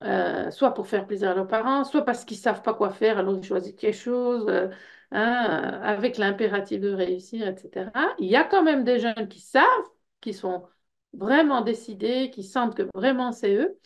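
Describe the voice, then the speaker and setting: A woman's voice: mid-range voice, normal speed, vocal fry. A middle-aged woman from Belgium with a Belgian French accent, presenting an information evening for a personal development workshop in a conversational way, full of fillers and the tag word "hein". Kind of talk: informal info session presentation.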